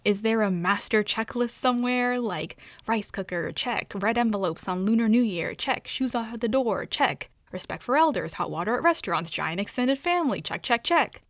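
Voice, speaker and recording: A woman from New York, a journalist, reading a scripted personal story in a reflective, measured way. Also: fairly high pitch, expressive, dry recording.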